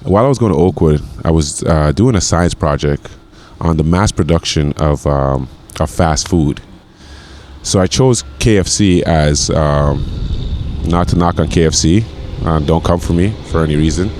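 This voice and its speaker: Man in his forties, from Grenada, very low voice, rambling and repeating himself, faint background noise. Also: normal speed, darkish tone. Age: 40s. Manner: rambling, with many repeated words and fillers. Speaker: podcast guest, chef. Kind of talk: casual conversation.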